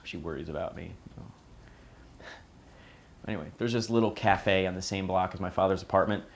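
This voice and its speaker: A man playing an estranged son, talking in a casual, halting, reflective way in a conversational drama monologue. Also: low-pitched, vocal fry. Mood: wry, reflective. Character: estranged son, wry and fond of his sister.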